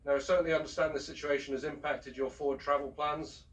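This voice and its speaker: A man speaking as a ship's captain, giving a formal, measured and courteous announcement over a public address system. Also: on the high side, flat delivery. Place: aboard a cruise ship, heard over the public address system. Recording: distant shipboard PA speaker, picked up from afar.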